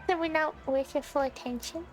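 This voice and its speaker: A woman's voice: sits high, normal speed, faint music behind, rough recording. A woman live streamer chatting casually with her viewers as she plays a game, in a playful, giggly, teasing way.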